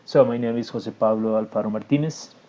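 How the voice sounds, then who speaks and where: Costa rican guy, explaining stuff in english, lots of uh and restarts, warm low tone, kinda muffled lo-fi audio. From Costa Rica. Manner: halting, frequent restarts and filler sounds. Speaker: tutorial presenter. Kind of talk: casual screen-recorded tutorial.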